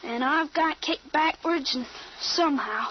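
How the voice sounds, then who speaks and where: High-voiced, self-assured boy, old radio drama. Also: measured pace. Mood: confident, explaining. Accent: American. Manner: articulate, matter-of-fact, adult vocabulary. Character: thirteen-year-old boy with a grown man's mind, precise, self-assured. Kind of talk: 1940s-50s radio drama acting. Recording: muffled, noisy old radio recording.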